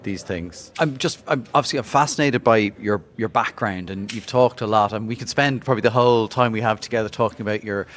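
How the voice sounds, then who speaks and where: A man's voice: lowish voice, a little fast, hardly any pauses, a bit bright. A man hosting a marketing podcast, upbeat and encouraging as he introduces and questions his guest. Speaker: podcast host. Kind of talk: interview podcast.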